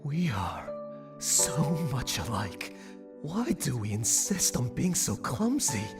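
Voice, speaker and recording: Someone voicing a proud young stag, slow and swooping. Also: mid-range voice, wildly swooping pitch. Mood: anguished. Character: proud young adult son, a deer, anguished. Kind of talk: dramatic fan dub over music. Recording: distant mic under loud music.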